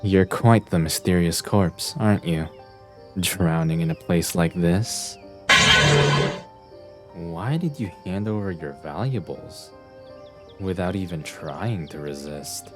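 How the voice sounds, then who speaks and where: A man playing an aloof, eccentric young detective in an anime dub, with a deadpan, theatrical delivery and a weary air. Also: low voice, slow, long pauses, music in the background. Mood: quietly intense.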